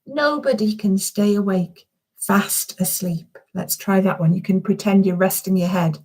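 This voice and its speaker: A woman's voice: mid-range voice, measured pace, darkish tone. A woman reading a children's story aloud as its author, chatting expressively and speaking directly to her young listeners.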